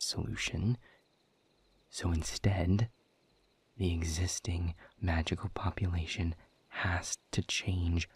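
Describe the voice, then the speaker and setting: Hopeless demon exile for audio drama, male actor: deep, very husky, grave and resigned with a sardonic edge. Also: a little mellow, full character voice. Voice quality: husky, breathy, low. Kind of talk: intimate audio roleplay acting.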